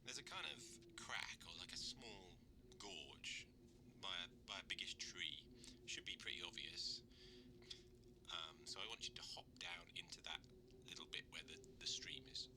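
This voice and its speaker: An actor playing a friend on the phone in a video game, speaking softly and whispery. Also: male voice, low voice, animated, moderate pace. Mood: calm, coaxing. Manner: soft, whispery, lilting delivery. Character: friend on the phone, adult man, oddly calm.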